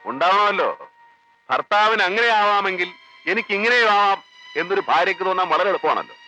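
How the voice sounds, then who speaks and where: A man's voice: high voice, animated, fast talker, bright, forceful tone, soft background music, full character voice. An actor playing an angry, defensive male film director who turns contemptuous with a raised voice, heard from some distance in a 1980s film.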